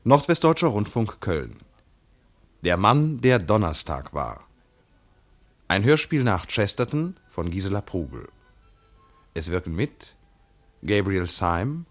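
A male announcer reading radio play credits in a measured, solemn, formal way. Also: low voice.

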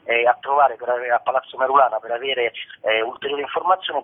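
A man giving formal, wordy explanations as a guest in a radio interview. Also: mid-range voice. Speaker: cultural curator, interview guest. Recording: muffled, narrow telephone line.

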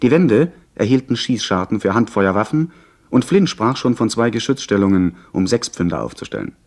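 Narrates a pirate adventure in the first person. His voice is a little low, and he tells the tale in composed, bookish sentences, as scripted for a radio play. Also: sing-song, moderate pace, darkish tone. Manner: composed, literary storytelling.

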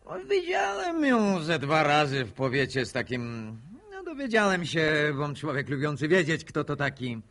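An actor playing a glib, wheedling village schemer in a radio drama, boastful and needling. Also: male voice, fairly high pitch, sing-song, moderate pace, a bit bright. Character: cocky, wheedling village schemer, male, talking his way out.

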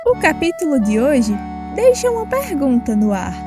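A woman's voice: sits high, very animated, normal speed, music in the background. A woman announcer introducing a radio drama episode from a script.

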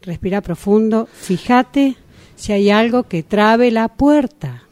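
A woman's voice: fairly high pitch, animated, slow, darkish tone. A woman acting an exasperated yet soothing helper in an amateur radio drama, flustered and repeating herself, with a Rioplatense Argentine accent. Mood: reassuring.

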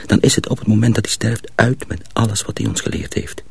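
Husky, secretive young mill apprentice. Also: male voice, on the low side, dry recording, full character voice. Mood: earnest, hushed. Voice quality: husky, creaky, often hushed. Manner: hushed, deliberate, explanatory. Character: secretive, cautious young male miller's apprentice, patiently instructing. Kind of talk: Dutch radio drama acting.

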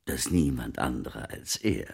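An actor in a German radio drama playing a wily, wealthy Italian merchant of old, with a gravelly, husky voice and a theatrical, insinuating delivery. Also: male voice, rumbling low, lively intonation, moderate pace, a little mellow, full character voice. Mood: grave, bitter.

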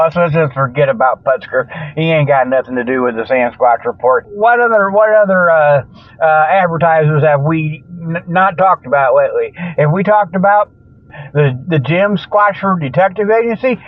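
A man hosting a comedic radio show, giving folksy, rambling mock sponsor pitches and chuckling at his own jokes. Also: no echo. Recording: thin phone-like band-limited sound.

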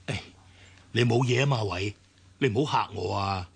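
An actor playing a kindly, anxious older uncle in a Cantonese radio drama, soothing and insistent, with a Hong Kong accent. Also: male voice, lowish voice, normal speed, vocal fry, husky, full character voice. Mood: concerned. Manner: soothing, fatherly, hesitant.

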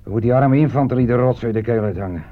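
A man playing a war-weary, plain-spoken army sergeant who talks haltingly in a Dutch radio play. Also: medium pitch, lightly acted. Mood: grumbling. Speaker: actor. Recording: dull old radio recording with hum, clicks.

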